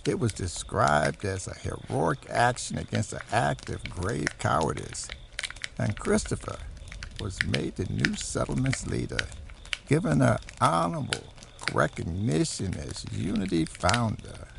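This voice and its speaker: Man narrating with heavy vocal fry. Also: on the low side, huge pitch swings, a little slow, background noise. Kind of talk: scripted documentary-style narration of a horror story.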